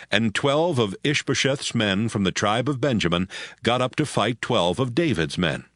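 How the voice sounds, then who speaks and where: A man narrating a Bible audio drama from a script in a measured storytelling manner. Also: mid-range voice, a bit bright. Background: music.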